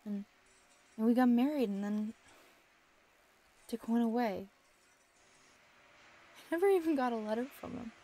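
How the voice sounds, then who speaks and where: A woman's voice: on the high side, expressive, a little slow, long pauses, dark tone. A woman playing a tough, grieving young factory widow in an old-time radio drama, brusque, slangy and impatient. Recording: noisy amateur radio play recording.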